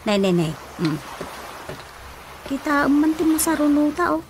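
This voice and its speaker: A woman's voice: high-pitched, very animated, a little slow, background noise. An actress with an Ilocano accent playing a curious, chatty neighbour woman in a comic radio drama. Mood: friendly. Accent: Filipino, Ilocano. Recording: radio drama with music underneath.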